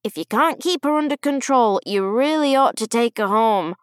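A woman's voice: on the high side, animated, moderate pace, smooth, no room sound, studio quality, pitched above the performer's own voice, lightly acted. Woman playing grumpy, disapproving grandfather. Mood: annoyed. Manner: stern, snooty complaining. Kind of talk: audiobook character voice.

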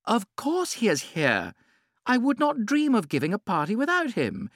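A man voicing a vivacious, theatrical middle-aged society hostess in a high, bright voice, in an audiobook reading. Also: huge pitch swings, normal speed, no room sound, studio quality, exaggerated voice. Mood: airy.

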